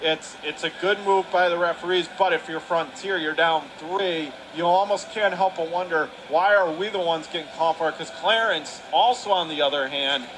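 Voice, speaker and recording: Male sports announcer, high-pitched, clipped and excitable hockey calls, light arena noise in the background. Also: moderate pace, hardly any pauses. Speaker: radio play-by-play announcer. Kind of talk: live ice hockey play-by-play commentary. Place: commentary position at an indoor ice rink.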